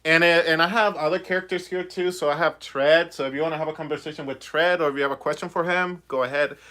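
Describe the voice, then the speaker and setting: Chatty young man with a bright voice. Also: on the high side, fairly even intonation, fast talker. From Puerto Rico. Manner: chatty, rambling, many fillers. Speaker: puppeteer vlogger. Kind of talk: casual livestream chat. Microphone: phone on a ring light.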